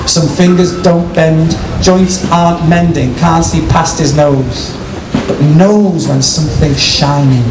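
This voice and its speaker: A man's voice: fairly high pitch, steady tone. Theatrical man reciting poetry, distant and echoey. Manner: theatrical, rhythmic, drawn-out phrasing. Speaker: performance poet and compere. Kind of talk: dramatic live poetry recital with casual asides. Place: outdoor city square, poetry tent. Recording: distant, echoey, noisy outdoor live recording. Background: street ambience and passers-by noise.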